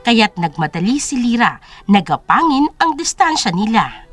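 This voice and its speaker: A woman's voice: on the high side, very animated, moderate pace, fairly bright tone, soft background music. A woman narrating a scripted story as a dramatic audio drama.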